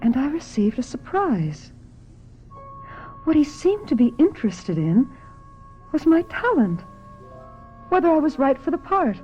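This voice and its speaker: An actress in a radio drama playing a gentle, ambitious young star, with measured and dramatic delivery. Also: female voice, high voice, very animated, faint music behind. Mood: wistful, rueful. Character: gentle, ambitious actress looking back, guarded and willing. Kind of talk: radio drama acting with confessional narration. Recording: dull old radio recording.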